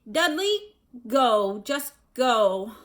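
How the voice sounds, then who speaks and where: A woman voicing a gruff, flustered and stubborn middle-aged uncle in a storybook, speaking with blustering emphasis. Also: fairly high pitch, big pitch swings, drawn-out words, fairly bright tone, exaggerated voice. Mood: wheezing anger.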